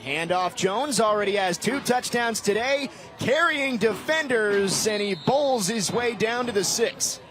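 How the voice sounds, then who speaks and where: A man's voice: sits high, lively intonation, unhurried. A man calling live sports play-by-play in clipped, vivid phrases. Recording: noisy live game broadcast.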